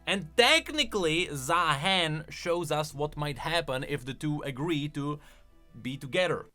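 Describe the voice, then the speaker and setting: A male commentator with a fairly high, very bright and forceful voice. He explains things off the cuff with big swings of emphasis, over background music. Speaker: video host, game lore commentator.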